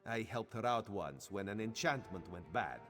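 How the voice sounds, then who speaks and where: A man's voice: fairly low pitch, expressive, normal speed, a bit bright. An actor voicing a vain, playful cat-man agent in a video game, speaking theatrically and with self-assurance. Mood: wry storytelling. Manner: theatrical, self-assured, refers to himself by name. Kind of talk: video game character dialogue. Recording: music behind the voice.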